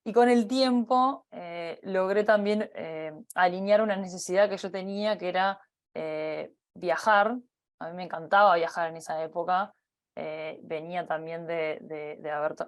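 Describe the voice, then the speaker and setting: Hesitant Uruguayan woman, lowish voice. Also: fairly even intonation, normal speed. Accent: Uruguayan Spanish. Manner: hesitant, many fillers and restarts. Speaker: company partner giving a talk. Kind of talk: personal career talk.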